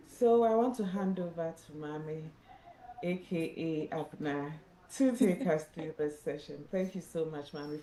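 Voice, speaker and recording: A woman, an executive director opening and hosting a live online session in a measured way with frequent ums. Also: low-pitched, warm tone. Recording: echoey room, faint noise.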